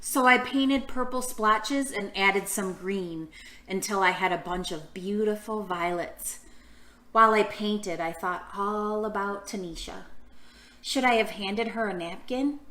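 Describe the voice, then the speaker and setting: Slow-speaking, bright-voiced woman reading to children. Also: mid-range voice. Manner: encouraging, asking the listeners questions. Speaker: children's storytime reader. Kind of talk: read-aloud storytime for young children. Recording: roomy, echoing room sound.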